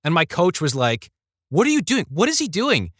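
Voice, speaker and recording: A man's voice: on the high side, wildly swooping pitch, moderate pace, fairly bright tone, no room sound, clean studio sound. A man speaking as a podcast guest, telling personal stories in a casual, conversational way.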